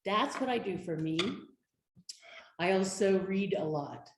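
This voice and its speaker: A woman speaking as an advocate on a community panel, telling her story in an earnest, steady way. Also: medium pitch, a little slow. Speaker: domestic violence advocate. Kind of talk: panel discussion. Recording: echoey room with faint noise.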